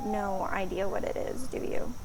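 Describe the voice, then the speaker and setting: A woman's voice: mid-range voice, fairly even intonation, normal speed, noisy background, lightly acted. A woman playing a blunt, exasperated young fairytale maiden in a comedic audio drama, with a casual, modern, sarcastic manner. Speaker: voice actor.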